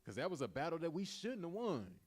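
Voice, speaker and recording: A man's voice: fairly high pitch, wildly swooping pitch, fairly quick. A man leading an informal Bible study, teaching and retelling in colloquial, repetitive everyday speech.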